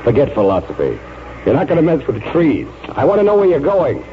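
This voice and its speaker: An actor playing a bossy, exasperated cousin who scolds and warns in a 1940s radio drama. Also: male voice, mid-range voice, wildly swooping pitch, a little fast. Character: exasperated, scolding male cousin of an old colonel. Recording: dull, noisy vintage radio broadcast.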